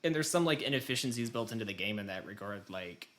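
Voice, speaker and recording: A man's voice: lowish voice, animated, fast talker, a bit bright. A man co-hosting a podcast, chatting casually about video games in a rambling, self-deprecating way with casual swearing. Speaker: podcast co-host.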